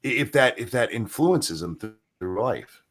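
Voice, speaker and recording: A man chatting casually in a blunt, deadpan way. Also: medium pitch, moderate pace. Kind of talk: casual chat.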